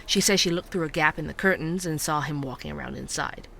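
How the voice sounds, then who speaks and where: An actor playing a thoughtful, inquisitive true-crime podcast host, narrating a case methodically. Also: male voice, on the high side, fast talker, creaky, bright, forceful tone. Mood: focused, explanatory. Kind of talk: scripted investigative podcast narration.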